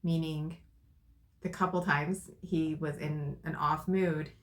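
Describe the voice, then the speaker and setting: A woman in casual conversation with her partner, thoughtful and soft-spoken. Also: low voice, fairly even intonation, slow pace. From Arizona, USA. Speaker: co-host.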